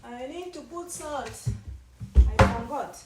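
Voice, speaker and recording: A Kenyan woman talks her way through a home-cooked meal, chatty and wandering, often starting a thought over. Her voice sits high. The kitchen rings around her and she sounds a little way off from the mic. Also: takes it slowly, very noisy. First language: Kenyan mother tongue. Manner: chatty, rambling, with frequent restarts. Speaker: cooking vlogger. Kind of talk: casual cooking demonstration. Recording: echoey room, mic at a distance.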